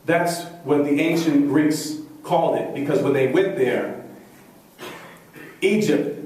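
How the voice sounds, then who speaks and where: Man, keynote lecturer, fairly high voice, quizzes the audience, booming hall reverb, distant mic. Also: slow pace, darkish tone. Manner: emphatic, asks the audience rhetorical questions. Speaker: keynote speaker. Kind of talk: lecture speech to medical students.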